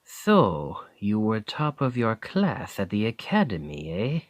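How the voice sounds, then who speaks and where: A wry veteran knight bodyguard. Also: male voice, fairly low pitch, huge pitch swings, noisy background, heavily acted. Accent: French. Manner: wry, testing, measured. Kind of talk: ASMR roleplay acting.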